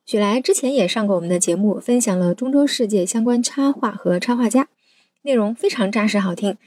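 A woman's voice: mid-range voice, fairly quick, darkish tone, dry recording. A woman hosting a podcast, delivering a scripted introduction of a guest and subject. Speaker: podcast host.

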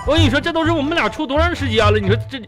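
A man's voice: very high voice, expressive, racing, music in the background. A man playing a boastful, sentimental young former classmate in a radio comedy, chatty and melodramatic, with a Northeastern Chinese accent. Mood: indignant. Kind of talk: comedic radio drama dialogue.

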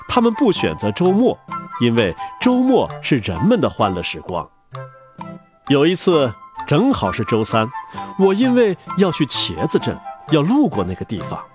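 Man, hugely animated pitch, storybook narration, clear background music. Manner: expressive, wide-ranging storytelling delivery. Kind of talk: scripted fairy-tale narration for children.

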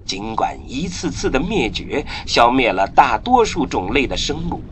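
A man's voice: medium pitch, big pitch swings, moderate pace, fairly bright tone, slight background noise. A man giving scripted narration for a radio drama in a theatrical storytelling manner. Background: music.

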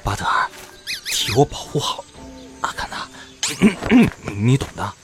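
A protective young male adventurer, low and gruffly affectionate. His delivery varies a lot, over clear music in an audio drama. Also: huge pitch swings, a little slow. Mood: confiding. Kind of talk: Chinese audio drama character acting.

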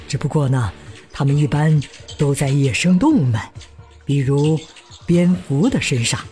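An actor playing a gentle father who patiently explains things to his child in simple words, in a children's radio drama. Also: a little high, animated, faint music behind. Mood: patient, explaining. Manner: slow, simple explaining with soft sentence particles.

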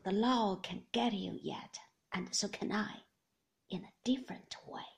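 Woman voicing a husky, jerky, sneering blackmailer. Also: mid-range voice, a little slow, whispered, exaggerated voice. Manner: jerky, bitter, mocking. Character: shaken, sneering blackmailer man.

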